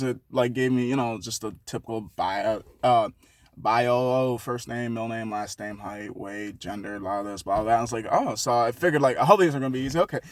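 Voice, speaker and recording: A young man from Haiti rambles through a casual vlog, swearing freely and laughing at his own detours. His voice sits at an ordinary pitch for a man. It is recorded dry and close, with no room sound. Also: no room sound. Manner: rambling, profane, self-mocking, with many restarts. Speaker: vlogger. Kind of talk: casual rambling monologue to camera.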